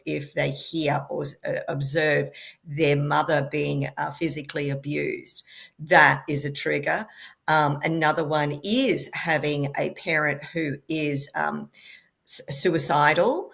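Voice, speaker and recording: Low slow woman w/ gravelly voice, talk show guest, pauses and ums a lot. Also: fairly bright tone. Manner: measured, frequent ums.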